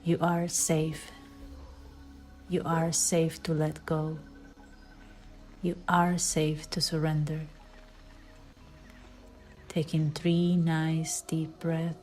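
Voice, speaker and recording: Slow, mellow woman guiding meditation. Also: low voice, little pitch movement. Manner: soft, soothing delivery with breathy, near-whispered phrase endings. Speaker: meditation guide. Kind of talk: guided meditation. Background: background music.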